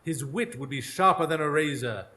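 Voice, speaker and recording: An actor playing a shrewd Victorian gentleman detective in a live radio drama, speaking in formal, articulate deductions. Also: on the high side, normal speed. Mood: intense, brooding. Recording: noisy live stage recording.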